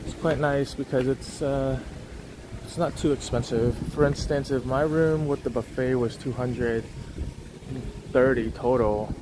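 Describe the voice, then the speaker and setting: A young man films a resort walkthrough on a phone held on a gimbal, chatting loosely and stopping often to restart his sentences. His voice sits at an ordinary middle pitch. Clear outdoor noise runs under the whole recording. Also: fairly even intonation, a little slow. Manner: rambling, many fillers and restarts. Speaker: travel vlogger. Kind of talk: casual walking tour commentary.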